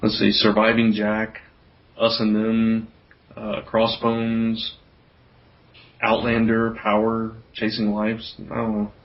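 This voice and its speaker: A man's voice: fairly low pitch, completely flat delivery, takes it slowly. A man hosting a podcast, talking informally in a casual group chat. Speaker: podcast host. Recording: dull, lo-fi podcast audio.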